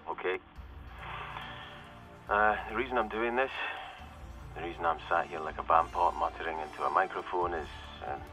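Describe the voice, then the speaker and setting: A man playing a weary, cynical security corporal in a video game, recording a halting, rueful audio diary as a survivor of a flooded world. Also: very deep voice, pushed and bright, low-quality recording. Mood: awkward, wry. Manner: halting, rueful, confiding, with pauses and self-corrections. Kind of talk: in-character audio diary monologue, dramatic game acting.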